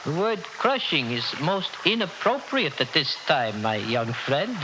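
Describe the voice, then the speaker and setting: A man's voice: fairly high pitch, big pitch swings, a little slow. A man playing an imperious, easily panicked head of state in a 1960s radio drama, speaking in a pompous, formal, commanding way. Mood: haughty, amused. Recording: noisy old radio recording.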